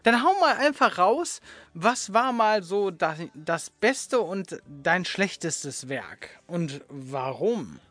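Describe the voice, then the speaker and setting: A man, hosting a casual chat in slangy, halting phrases. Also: sits high, sing-song, a bit bright, no room sound. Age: 30s. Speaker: podcast host.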